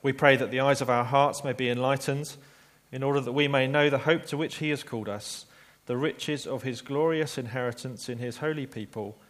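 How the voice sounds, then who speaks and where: A man preaching a sermon, telling a story earnestly and slowing down quietly for emphasis. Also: mid-range voice, fairly even intonation.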